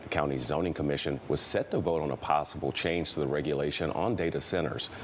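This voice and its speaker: A man's voice: extremely low pitch, a little hiss or hum. A man working as a TV news anchor, reading scripted local news.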